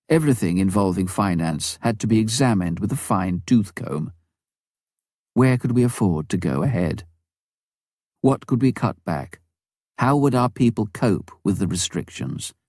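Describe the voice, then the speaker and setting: Male narrator, low register, dry close sound, measured and deliberate delivery for memoir audiobook. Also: a little mellow, no room sound.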